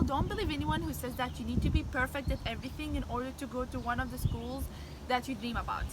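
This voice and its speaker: A high-pitched young woman in her late teens, Arabic her first language, gives earnest advice with a few restarts. She talks outdoors, and loud wind and passing cars rush under her voice. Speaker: vlogger. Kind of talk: casual advice vlog. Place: outdoors on a windy day. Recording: wind and traffic noise outdoors. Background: wind and passing vehicles.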